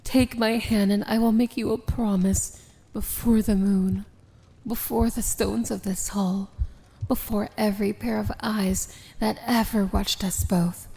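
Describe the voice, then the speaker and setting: A woman's voice: mid-range voice, fairly even intonation, measured pace, gravelly, dark tone. A woman playing a powerful, solemn and devoted evil princess in a romantic fantasy ASMR roleplay, speaking in formal, poetic phrases that often drop into a whisper. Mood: tender, earnest.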